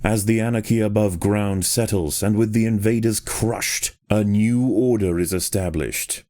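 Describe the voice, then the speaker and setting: A man reading scripted nature documentary narration in a measured, solemn and dramatic manner. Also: lowish voice, a little mellow. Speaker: documentary-style game narrator.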